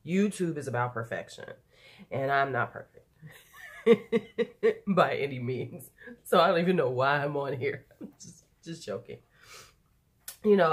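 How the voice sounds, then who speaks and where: Woman, 40s, stuffy nasal voice, losing her voice, slightly low pitch, chatty rambling beauty vlogger at home. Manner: chatty, laughing, drifting off topic. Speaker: beauty YouTuber. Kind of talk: unedited rambling vlog.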